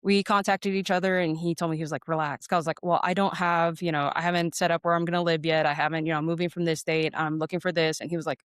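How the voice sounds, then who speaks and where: A woman's voice: fairly low pitch, little pitch movement, fast talker, few pauses, smooth, no room sound. A woman from Arizona with an American accent, telling stories in a casual, candid, informal way as an interview guest. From Phoenix, Arizona, United States. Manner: candid, informal, often quotes remembered exchanges. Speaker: interview guest, air traffic controller and former Marine. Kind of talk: casual conversational storytelling.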